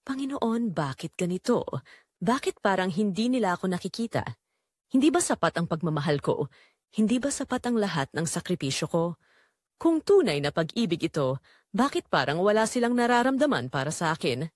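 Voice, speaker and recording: A woman performs a long-suffering mother in an audio drama, narrating her own sorrows. Her delivery is emotional and pleading, with pitch that moves widely. The sound is close and dry. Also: very animated, brisk, no room sound. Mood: anguished prayer. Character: Marites, weary, neglected, long-suffering Filipino mother. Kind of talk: first-person dramatic audio story narration.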